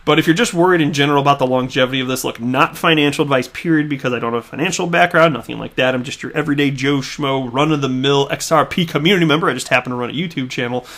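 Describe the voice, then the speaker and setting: A man's voice: medium pitch, very fast, few pauses, a bit bright. A man from the American Midwest talking casually on his own video channel, chatty and full of fillers, often repeating his words. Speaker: crypto YouTuber. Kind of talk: casual commentary reading tweets.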